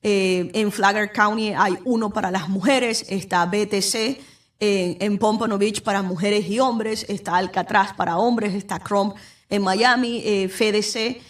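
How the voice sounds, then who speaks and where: A woman's voice: mid-range voice, steady tone, a little slow. A young adult woman lawyer with a Venezuelan accent, hosting a podcast and explaining legal matters directly to the listener. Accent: Venezuelan Spanish. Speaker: immigration lawyer, podcast host. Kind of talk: informative solo talk. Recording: voice somewhat far from the mic.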